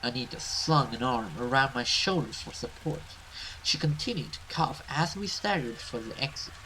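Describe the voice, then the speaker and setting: A man's voice: medium pitch, expressive, moderate pace, pushed and bright, a little hiss or hum, rough recording. A man reading a horror visual novel aloud as a gamer, narrating it theatrically. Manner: theatrical, with casual swearing asides. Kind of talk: dramatic let's play narration of a horror story.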